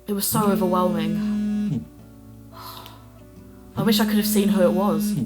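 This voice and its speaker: A woman plays a troubled young woman in her twenties, candid and self-deprecating as she talks into a recorder. Her voice sits at a middle pitch. Soft guitar music plays underneath her. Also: fairly even intonation, takes it slowly, lightly acted. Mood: brooding. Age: 20s. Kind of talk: audio drama, diary-style monologue.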